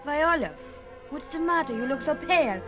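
An actress playing an anxious, loving 1890s wife in a fretful, tender 1950s radio drama style. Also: female voice, high voice, expressive, fairly quick. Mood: glad, concerned. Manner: solicitous, fretful questioning. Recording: 1950s vinyl radio recording, music underneath.

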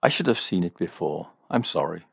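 A man voicing a calm, gently reproving young woman with teasing wit in an audiobook, soft-spoken and matter-of-fact. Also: low-pitched, a little fast, vocal fry, husky, no room sound, clean studio sound, exaggerated voice. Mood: gentle, frank.

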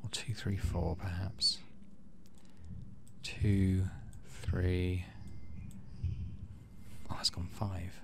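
A man hosting a gaming channel, low-key, casually commenting on play and reading story text aloud. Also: level tone, drawn-out words, gravelly, long pauses, noisy background.

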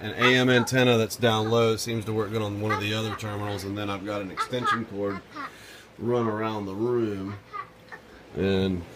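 A man's voice: lowish voice, background noise. A man thinking aloud informally as he gives a casual home demonstration as a radio hobbyist, sometimes talking aside to a child. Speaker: amateur radio hobbyist filming a video. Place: small room at home, indoors. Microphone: handheld phone or camera, held by speaker. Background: shortwave radio sounds and music.

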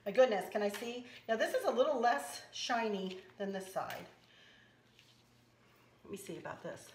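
A slightly hoarse woman hosting a casual craft tutorial, chatting and teasing herself as she works. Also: medium pitch, animated, moderate pace, reverberant, a little hiss or hum. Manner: chatty, self-teasing, talks while working. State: a little hoarse. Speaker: craft channel host. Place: kitchen counter indoors.

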